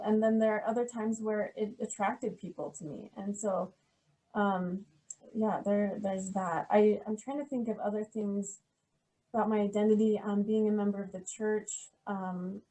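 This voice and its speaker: A middle-aged woman, a history professor, answering interview questions in a soft, hesitant way with many pauses and restarts. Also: medium pitch, low-quality recording.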